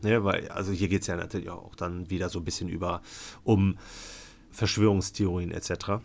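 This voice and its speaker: A man's voice: low-pitched, steady tone, brisk, vocal fry, darkish tone. A man giving a casual, colloquial film review as a podcast co-host.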